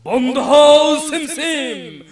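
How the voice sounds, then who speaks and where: A man playing a commanding cartoon bandit chief, calling out loud, drawn-out orders like incantations. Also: extremely high pitch, steady tone, full character voice. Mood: commanding, incantatory. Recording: noisy, crowded cartoon soundtrack.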